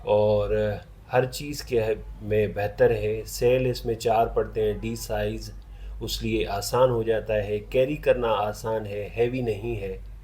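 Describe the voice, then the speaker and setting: A man's voice: fairly low pitch, steady tone, slow pace, dark tone. A man giving a casual, conversational product review as a hobbyist reviewer. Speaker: vintage radio reviewer.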